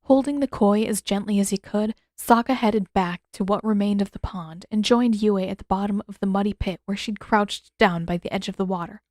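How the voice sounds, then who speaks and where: Female audiobook narrator, medium pitch, scripted fiction reading, close dry recording. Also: a little mellow, no room sound.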